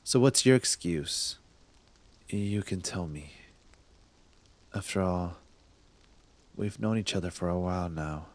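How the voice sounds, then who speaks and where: Man acting a slow, low-voiced smug cop. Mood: probing, softening. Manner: teasing, intimate, halting, drops into breathy murmurs. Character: smug young male cop, secretly smitten with thief. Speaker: voice actor in audio roleplay. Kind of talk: ASMR roleplay acting, addressed to the listener.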